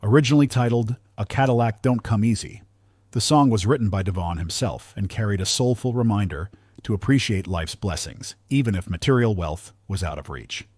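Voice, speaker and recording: A man's voice: on the low side, fairly quick, darkish tone, slightly rough, no echo, clean studio sound. A man narrating a scripted countdown in a polished, earnest delivery, as the narrator.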